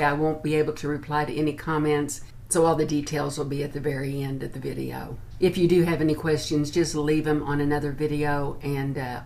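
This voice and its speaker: A woman's voice: low-pitched, a little hiss or hum. An American woman in her sixties chatting informally to camera as she reviews products. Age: 60s. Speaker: beauty and lifestyle vlogger. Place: small furnished room at home, indoors.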